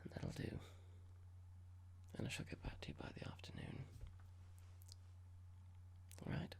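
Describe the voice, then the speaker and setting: A performer playing a cold, smug corporate boss in a whispered ASMR roleplay, speaking slowly and deliberately with breathy creak and mock politeness. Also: lightly acted. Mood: dismissive, condescending.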